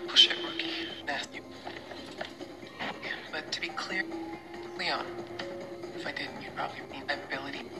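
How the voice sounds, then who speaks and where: A woman's voice: extremely low pitch, moderate pace, pauses often. An actress playing a tough, defiant woman in an action video game, with curt, guarded delivery. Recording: game dialogue under loud music.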